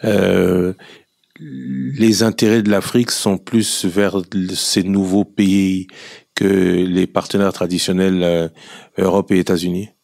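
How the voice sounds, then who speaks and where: A man interviewing a guest on the radio, asking probing, measured questions with some fillers. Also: deep voice, fairly even intonation, vocal fry, a little mellow, no room sound, studio quality.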